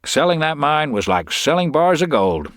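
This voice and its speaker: An actor playing a smooth con man who feigns sincerity in folksy, earnest, confiding talk. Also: on the high side, sing-song, normal speed, a bit bright, dry recording, studio quality, voice pushed up.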